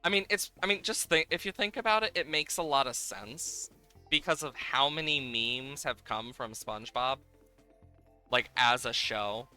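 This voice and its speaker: A man's voice: medium pitch, normal speed, sharp tone, clear, resonant tone, faint music behind. A man livestreaming a game, talking casually and playfully as he thinks aloud in a rambling way. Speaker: livestreamer, host. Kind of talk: casual live game-stream chat, reacting to game and viewers.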